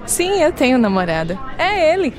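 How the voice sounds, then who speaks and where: A woman with a Brazilian Portuguese accent reading lesson dialogue in a lively way. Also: high-pitched, normal speed, some chatter behind.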